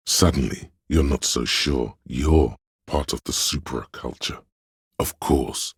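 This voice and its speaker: A pompous, arty voice inside the head, narrating a video game in second person. The voice is extremely low and very husky, with a creaky edge. The delivery is theatrical and ponderous, like scripted art criticism, recorded close and dry. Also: male voice, no room sound, full character voice. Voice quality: gravelly, husky, very deep. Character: inner voice of artistic imagination, pretentious, intellectual, male.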